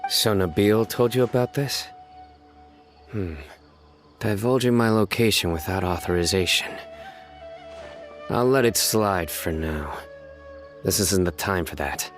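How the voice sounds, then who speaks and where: A man voicing a stern, composed law enforcer in an anime-style game, speaking in a formal, measured, matter-of-fact way. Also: deep voice, soft background music. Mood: stern, brisk.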